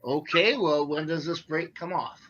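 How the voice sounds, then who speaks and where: A man's voice: fairly high pitch, moderate pace, fairly bright tone, lo-fi sound, slight background noise, lightly acted. A man playing a blunt, gullible, anxious gangster henchman in a 1940s-style radio play, slangy and eager to please. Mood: uneasy, doubtful.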